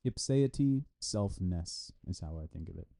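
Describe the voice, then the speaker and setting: A man reading a scholarly text aloud with deliberate, halting phrasing. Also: sits low, lively intonation, creaky, mellow. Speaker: reader of a philosophy article. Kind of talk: read-aloud scholarly text with brief explanatory asides.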